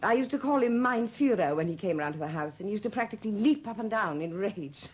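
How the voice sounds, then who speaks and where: Husky, sardonic widow, very animated. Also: female voice, mid-range voice, quick pace, poor audio. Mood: bitter, confiding. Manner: sardonic, expressive. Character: wry, bitter newly widowed woman. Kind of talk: 1970s BBC radio drama acting.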